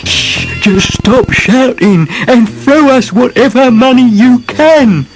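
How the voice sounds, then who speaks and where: A man's voice: very high-pitched, animated, slow, faint music behind, voice pushed up, exaggerated voice, low-quality recording. A man voicing a nervous, bossy robber in a storybook reading, urgent and hissing.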